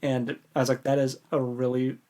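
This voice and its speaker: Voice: mid-range voice, level tone, normal speed, dark tone. A podcast co-host with an American accent, chatting informally and with some amusement about a book. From United States. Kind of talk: casual book discussion.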